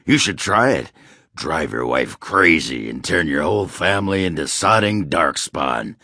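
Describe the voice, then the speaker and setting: An actor playing a gruff, crude, boozy male dwarf warrior in a video game, with a raspy growl and a coarse, blustering, grumbling manner. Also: a bass voice, lively intonation, a little slow, pushed and bright, no room sound, clean studio sound, exaggerated voice. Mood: gruff boisterous. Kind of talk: video game character dialogue.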